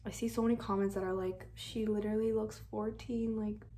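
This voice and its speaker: A woman's voice: medium pitch, flat delivery, brisk, dark tone. A seventeen-year-old girl from Canada, chatting casually to the camera in her bedroom, upbeat and talkative with lots of "like" and "literally". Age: 17, teenager. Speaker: vlogger. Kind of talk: casual vlog chat to camera. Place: bedroom at home, indoors.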